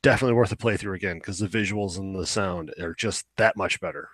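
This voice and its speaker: A man's voice: low voice, quick pace, a bit bright, dry recording. A young man chatting casually as a podcast co-host, opinionated and rambling, swearing now and then. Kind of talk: casual chat about video games.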